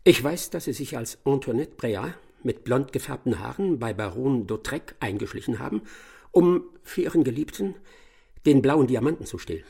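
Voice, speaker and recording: An actor playing a self-assured, pompous English master detective in a radio drama, speaking formally and emphatically. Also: male voice, medium pitch, animated, normal speed, creaky, a little mellow, slightly rough. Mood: accusing, insistent.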